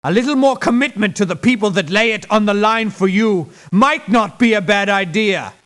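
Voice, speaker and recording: An actor voicing an exasperated, dismissive, sarcastic mercenary in a video game. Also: male voice, high voice, animated, normal speed, a bit bright. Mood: fed up. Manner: sarcastic, scornful. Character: exasperated, dismissive, sharp-tongued male mercenary. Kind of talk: video game character voice lines.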